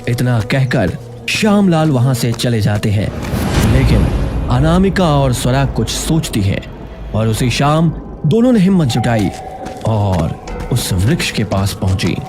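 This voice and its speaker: A man's voice: medium pitch, very animated, measured pace. A man narrating a children's story in a dramatic, scripted storytelling style. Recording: music playing behind the voice. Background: clear background music.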